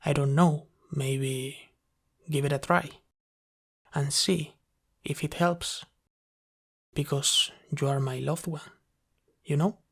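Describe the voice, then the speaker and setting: A man playing a gentle, caring sleep paralysis demon who soothes his human in a soft-spoken, reassuring ASMR roleplay. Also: fairly high pitch, fairly even intonation, takes it slowly, gravelly, long pauses, full character voice. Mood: soothing, encouraging.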